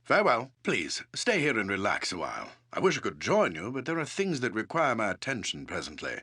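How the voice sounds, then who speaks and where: A seasoned fantasy game adventurer who leads his town. His delivery is extremely animated, with big swings in pitch and a bright, forceful edge. He speaks in formal, old-fashioned phrases, commanding yet amiable. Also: a little fast, breathy edge, exaggerated voice. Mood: hospitable, advising. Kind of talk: fantasy video game character dialogue.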